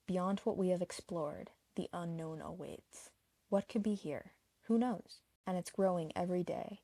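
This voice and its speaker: A woman's voice: lowish voice, mellow, no room sound. A woman narrating a scripted explainer, adding her own opinions as she goes. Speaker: narrator of a video essay.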